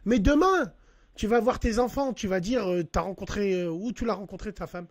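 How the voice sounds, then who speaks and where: Animated high-voiced man giving advice. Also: moderate pace, no echo, studio quality. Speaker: host. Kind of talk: casual debate over a phone call.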